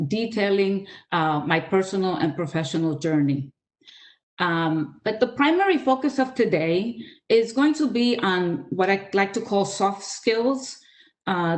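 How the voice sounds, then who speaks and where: An older woman, from the Dominican Republic by way of New York City, gives a measured career talk over a video call. She speaks earnestly in a mid-pitched voice, with frequent filler sounds. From Dominican Republic, raised in New York City. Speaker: guest speaker, author. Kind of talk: webinar presentation.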